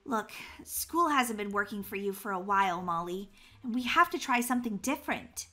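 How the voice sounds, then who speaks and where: A woman voicing a calm, gently firm mother in an acted children's family story, speaking in a measured way. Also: mid-range voice.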